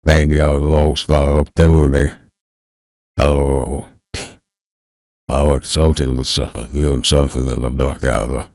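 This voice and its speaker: A man's voice: level tone, a little mellow, no room sound, clean studio sound, heavily acted. A man playing a rambling old backwoods Southern man on a prank call, mumbling and slurring through a voice changer. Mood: polite, muddled. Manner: rambling, halting, repeats words. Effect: pitch-lowering voice changer. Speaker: scam baiter. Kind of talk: prank phone call in character.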